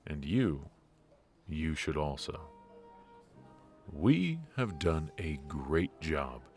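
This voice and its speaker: A man voicing a charismatic ex-mafia rogue in an ASMR roleplay, his voice extremely deep and his delivery slow and unhurried. He teases and flirts playfully, but he is stiff and worn from his injuries. Faint music plays behind him. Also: big pitch swings, a little mellow, full character voice. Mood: confiding.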